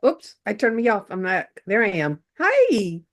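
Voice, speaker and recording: A woman's voice: medium pitch, wildly swooping pitch, measured pace. A woman joining a video call early, chatting cheerfully and playfully. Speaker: meeting participant.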